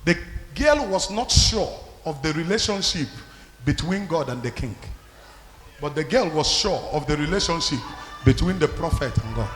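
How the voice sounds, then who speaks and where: Man preaching loudly in an echoing hall. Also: on the high side, lively intonation, moderate pace. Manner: shouting, commanding the congregation. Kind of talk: loud sermon.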